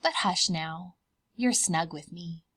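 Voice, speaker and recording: Woman as a slow, soothing mama bear. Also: fairly low pitch, fairly bright tone, husky, heavily acted. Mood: hushing, soothing. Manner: soothing, patient, unhurried. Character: gentle, reassuring mother polar bear.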